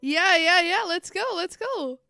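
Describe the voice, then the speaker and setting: A woman streamer reacting live in casual, excitable chat. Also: very high-pitched, a little slow, creaky, no room sound, studio quality. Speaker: streamer reacting to a game livestream.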